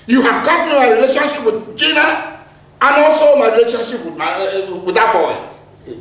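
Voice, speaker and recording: Imperious older chief, Nigerian accent, very high male voice, scolding, film drama, heavy echo. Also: moderate pace, fairly bright tone. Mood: agitated reproach. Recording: very echoey, distant, faint noise.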